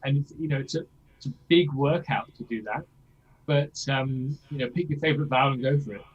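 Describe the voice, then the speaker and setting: A man's voice: mid-range voice, fairly quick, slightly rough, muffled recording. A man coaching a singer in a masterclass, explaining things and checking in with "yeah?" as he demonstrates lines himself. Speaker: vocal coach.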